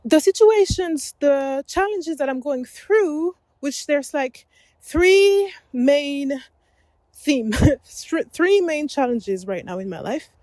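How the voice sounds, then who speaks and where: French lady rambling on her podcast while walking a forest path, kinda high voice, takes her time, dry close sound. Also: sing-song, slow pace, darkish tone, no room sound. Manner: rambling, self-correcting, laughs often. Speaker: podcast host.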